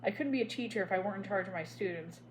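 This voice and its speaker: A woman doing a crisp, stern young schoolmistress. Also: mid-range voice, racing, a little hiss or hum, natural voice, low-quality recording. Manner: crisp, firm, commanding. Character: strict young schoolteacher woman, stiff and proper.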